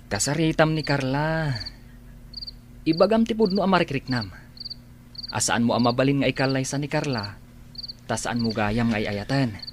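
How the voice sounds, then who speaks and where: An actor playing a concerned friend who gives frank advice in a radio drama, earnest and coaxing. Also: male voice, mid-range voice, lively intonation, moderate pace, long pauses, natural voice. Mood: advising. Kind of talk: Ilocano radio drama acting. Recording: noisy track with clicks and insects.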